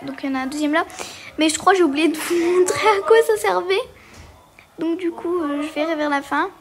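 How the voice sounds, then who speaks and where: High-voiced woman chatting through a game. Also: a little fast, noisy background. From Portugal. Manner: hesitant, many fillers, chatty. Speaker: gaming video creator. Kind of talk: casual game walkthrough commentary.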